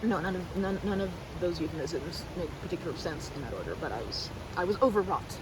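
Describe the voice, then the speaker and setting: A woman in her thirties with an American accent, rambling in a casual, animated and playfully theatrical way as a chatty best friend in an ASMR roleplay. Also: fairly low pitch, fast talker, background noise. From United States. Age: 30s. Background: river park ambience with water and vehicle sounds.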